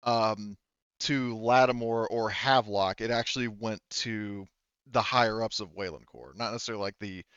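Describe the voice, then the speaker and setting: A man running a tabletop game as game master, explaining details casually and haltingly, often rephrasing as he goes. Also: fairly low pitch, steady tone, a bit bright, clean tone, no room sound, highs cut off.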